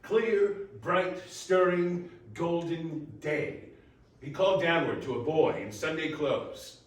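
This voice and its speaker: A man narrating a story in a theatrical readers theater style, with emphatic pauses. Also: a little high. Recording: very echoey room, distant mic, faint noise.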